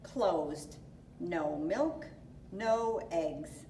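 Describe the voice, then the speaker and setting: A woman voicing a herd of polite but demanding farm cows in a storybook, in measured, formal phrases. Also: fairly low pitch, animated, mellow, exaggerated voice. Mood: curt. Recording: roomy echo, distant mic, faint noise.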